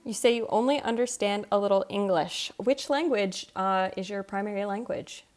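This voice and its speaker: A woman's voice: mid-range voice, moderate pace. A young adult woman livestreamer chatting casually with her viewers in a self-deprecating way. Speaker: live streamer. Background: soft video game music.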